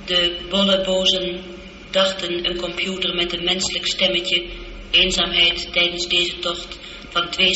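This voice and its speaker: An actress playing a female spaceship computer in a radio drama, formal, measured, literal and calmly reassuring. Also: lowish voice. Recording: dull, noisy, echoing old radio recording.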